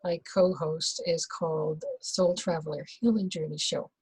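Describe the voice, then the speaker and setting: A woman from the Netherlands, a doula, talking as an interview guest in a slow, hesitant way with many fillers and restarts. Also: fairly low pitch, darkish tone, slightly rough, dry recording, poor audio. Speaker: guest, birth and rebirth doula. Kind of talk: casual conversational interview.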